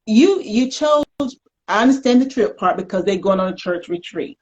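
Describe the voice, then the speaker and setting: A woman's voice: medium pitch, lively intonation, moderate pace, a little mellow, dull audio. An American woman hosting a casual interview in a chatty, playful, folksy way. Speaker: talk show host.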